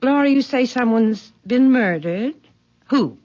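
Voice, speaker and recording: A woman's voice: on the high side, lively intonation, measured pace, a little mellow. A genteel, middle-aged mother in an old radio drama. She is formal and controlled, faintly patronizing, on a slightly dull vintage recording. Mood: firmly composed.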